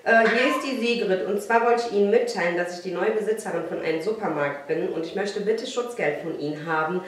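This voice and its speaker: A woman in her twenties chatting casually in an animated, giggly way for a prank video. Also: mid-range voice, fairly quick, a little hiss or hum. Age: 20s. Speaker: vlog host. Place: living room, indoors. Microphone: camera a few feet away. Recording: echoey room, distant microphone.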